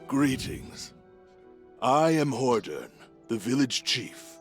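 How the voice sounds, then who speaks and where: Village chief, fantasy RPG: very wide melodic swings, unhurried, music bed. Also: male voice, mid-range voice, sing-song. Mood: formal greeting. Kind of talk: fantasy video game voice acting. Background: game music.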